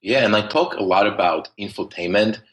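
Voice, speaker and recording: Man, thick Polish accent, low pitch, rambling conversational guest, fillers and restarts. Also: brisk, poor audio. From Poland. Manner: explains at length with frequent restarts and fillers. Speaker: podcast guest. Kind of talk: conversational interview.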